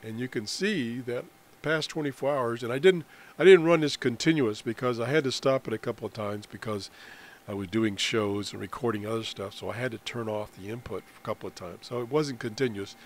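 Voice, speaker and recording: An American man giving an unscripted software walkthrough as a radio hobbyist, explaining in a halting way with trailing phrases. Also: medium pitch. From United States. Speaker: amateur radio hobbyist presenting a tutorial. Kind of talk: unscripted software screen demonstration. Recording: clear background noise on voice-over.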